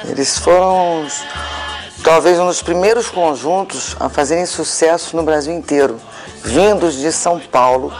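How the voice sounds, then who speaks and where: A man giving a documentary interview, reflective and searching for the right words. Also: fairly high pitch.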